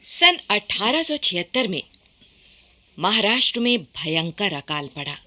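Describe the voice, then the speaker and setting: A woman's voice: mid-range voice, bright, forceful tone. A woman giving scripted, dramatic narration for a radio play.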